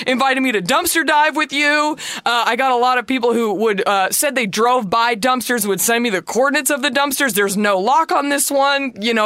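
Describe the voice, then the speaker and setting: A woman in her thirties from the United States with a deep voice, hosting a podcast in a chatty, joking way with sarcastic asides. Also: sharp tone, no echo, studio quality. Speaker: podcast host. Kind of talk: solo podcast chat.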